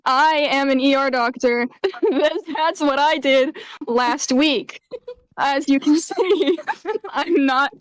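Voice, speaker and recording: A woman's voice: sits high, slow, low-quality recording. A woman doing a jokey voice-over as a pretend emergency doctor, with mock-serious patter. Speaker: host voicing over a doctor clip. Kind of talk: comedic voice-over riff.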